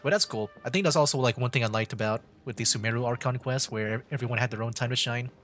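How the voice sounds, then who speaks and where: A man giving casual livestream game commentary in a rambling, halting, easygoing way. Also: medium pitch, a bit bright, soft background music. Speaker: streamer.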